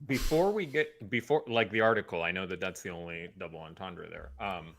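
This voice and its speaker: A man with a fairly low voice chats as a guest on a pop culture show. He is measured and bookish, setting out details in a casual but precise way. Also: creaky, fairly bright tone. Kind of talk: casual, informed fan discussion.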